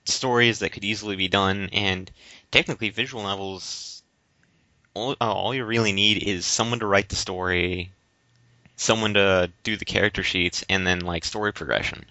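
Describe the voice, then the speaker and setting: A man co-hosting a casual debate podcast, speaking in a halting, stammering way. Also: deep voice, steady tone, bright, forceful tone, dry recording. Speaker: podcast co-host. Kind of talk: casual debate-style chat. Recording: slightly dull, lo-fi audio.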